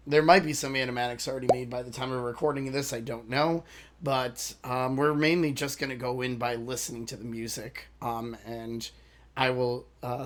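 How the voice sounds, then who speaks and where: A man with a hoarse, worn voice chats loosely to his viewers at a middle pitch. He rambles, says sorry and loses his thread now and then, in the easy tone of a reaction channel host. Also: a bit bright. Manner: rambling, apologetic, loses his train of thought. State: hoarse from a lost voice. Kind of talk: casual chat to viewers.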